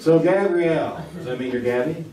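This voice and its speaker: A man's voice: on the high side, normal speed, far from the mic, a loud crowd, lightly acted. A man playing a joke-cracking 1950s quiz show host, teasing the contestants with showman patter, in old-time radio stage acting. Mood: joking. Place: theater stage. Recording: roomy live theater recording from afar.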